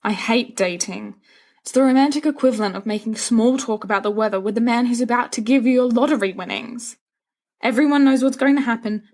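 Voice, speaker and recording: A woman's voice: on the high side, a little mellow, voice pushed up, close to an everyday voice. A woman voicing a sulky, dramatic teenage schoolboy who frets and complains in a storybook reading. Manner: melodramatic complaining.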